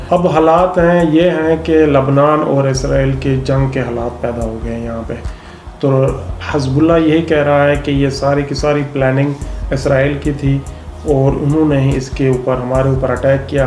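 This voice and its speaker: A man's voice: medium pitch, darkish tone. A man hosting an explainer on current affairs in a semi-formal monologue, mixing English technical terms into his speech. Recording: echoey room, faint background noise.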